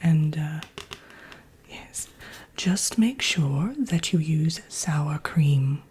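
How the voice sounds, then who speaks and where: A woman playing a genteel, drily wicked Evil Queen in a soft, breathy whispered ASMR roleplay, presenting like a workshop teacher. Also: sits low, animated, very slow, exaggerated voice. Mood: drily wicked, instructive. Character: haughty, wicked fairy-tale Evil Queen teaching recipes.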